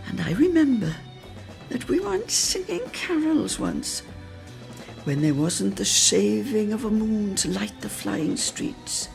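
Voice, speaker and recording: A woman giving an expressive, theatrical dramatic reading of a literary story for radio. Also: medium pitch, takes it slowly, gravelly, faint music behind. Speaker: dramatic reader.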